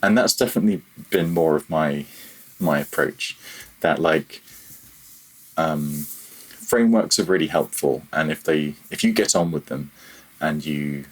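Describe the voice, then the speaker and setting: Very low-voiced, slightly husky man. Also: unhurried, long pauses, rough recording. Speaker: podcast guest, freelance audio programmer. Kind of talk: casual interview chat.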